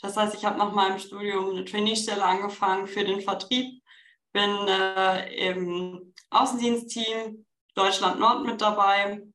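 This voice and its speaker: A young woman introduces herself as a trainee in an interview. She speaks at an unhurried pace, and her voice has a roomy echo. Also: mid-range voice, flat delivery, a bit bright. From Bochum, Germany. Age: young adult. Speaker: sales trainee, interview guest.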